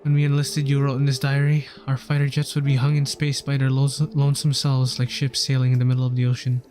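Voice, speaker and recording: A man's voice: medium pitch, monotone, quick pace, a little mellow. A man streaming a game, reading its story text aloud earnestly and now and then stumbling over words. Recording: game music behind the voice. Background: game music.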